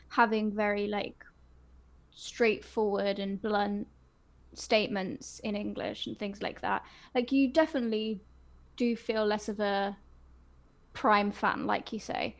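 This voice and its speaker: A woman co-hosting a casual fan podcast, talking enthusiastically with lots of fillers. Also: mid-range voice. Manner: enthusiastic, gushing, frequent fillers. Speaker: podcast co-host.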